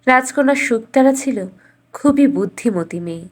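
A woman's voice: fairly high pitch, animated, moderate pace. A woman reading a scripted fairytale as a storyteller.

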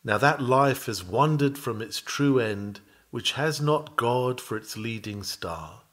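A man reading an old Victorian sermon aloud in an earnest, measured, preacherly manner. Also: mid-range voice, animated, a bit bright. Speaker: sermon reader.

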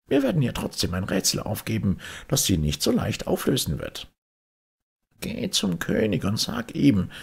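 A man voicing a cunning old fairy-tale witch, with a high, breathy, creaky voice and a sly, swooping delivery. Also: fairly bright tone, exaggerated voice.

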